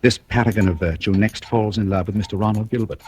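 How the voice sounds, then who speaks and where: An actor playing a suave, mocking, cold-blooded British blackmailer in an old-time radio thriller, speaking with urbane deliberation. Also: male voice, lowish voice, fairly even intonation, fast, natural voice. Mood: smug, taunting. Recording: noisy vintage radio recording with clicks.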